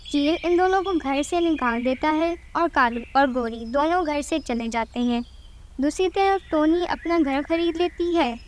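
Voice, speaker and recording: A woman's voice: high-pitched, slight background noise. A woman reading scripted narration for a children's cartoon moral story.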